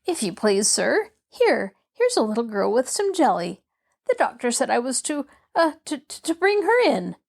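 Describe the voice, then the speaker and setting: A woman's voice: fairly high pitch, very animated, normal speed, gravelly, full character voice. A woman voicing a timid, frightened storybook housemaid, hesitant and stammering with deference. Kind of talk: storybook character voice.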